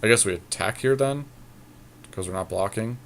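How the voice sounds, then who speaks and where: A man's voice: fairly low pitch, moderate pace, vocal fry, a bit bright, a little hiss or hum. A man co-hosting a gaming podcast, talking casually but arguing his points in an analytical, matter-of-fact way. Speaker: podcast co-host. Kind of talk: casual gaming commentary with a friend.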